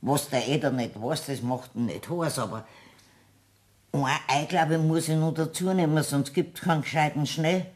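A woman's voice: low-pitched, moderate pace, a little mellow, close to an everyday voice. An actress playing a talkative, scatterbrained housekeeper woman with a Bavarian accent in a radio play, colloquial and full of exclamations. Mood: flustered. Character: chatty, well-meaning, scatterbrained housekeeper woman. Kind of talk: German radio play acting.

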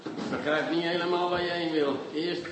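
An actor playing a pompous, sceptical police commissioner who grumbles and takes offence easily, in a Dutch radio drama. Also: male voice, fairly high pitch, steady tone, normal speed, close to an everyday voice. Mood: puzzled, sceptical. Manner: indignant, grumbling. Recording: roomy, very noisy radio play recording.

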